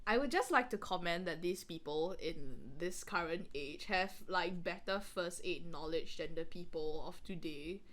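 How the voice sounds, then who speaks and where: A woman's voice: lowish voice, normal speed, hardly any pauses, fairly bright tone, slight background noise. A woman co-host giving casual, rambling, informal commentary on a story reading.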